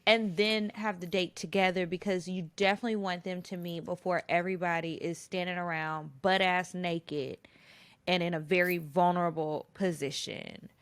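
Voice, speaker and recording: A woman's voice: on the low side, fairly even intonation, moderate pace, clean tone, no room sound. A woman co-hosting a podcast in casual chat, candid and slangy. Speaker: podcast co-host.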